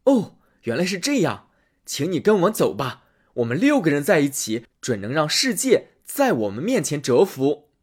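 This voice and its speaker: A man voicing a bold, boastful discharged soldier in a storybook reading, with a husky voice. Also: on the high side, huge pitch swings, moderate pace, full character voice. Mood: rousing. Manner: boastful, rousing.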